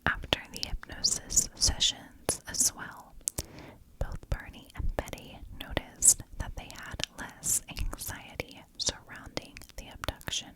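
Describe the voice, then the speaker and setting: Slow whispering woman, ASMR storyteller. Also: rough recording. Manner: soft, unhurried, deliberate phrasing with pauses. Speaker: host of a true crime ASMR channel.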